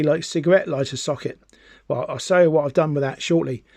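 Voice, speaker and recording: A man's voice: on the high side, a little mellow, no echo. A man casually presenting a hands-on product demonstration, chatty and explanatory, repeating points for emphasis. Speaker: amateur radio hobbyist presenting a gear review.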